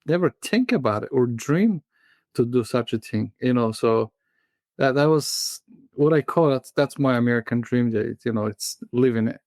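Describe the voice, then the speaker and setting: A man in his thirties from Bulgaria with a Bulgarian accent, a guest in a casual interview who talks in a halting way, full of fillers and restarts. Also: medium pitch, lively intonation, darkish tone, dry recording. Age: 30s.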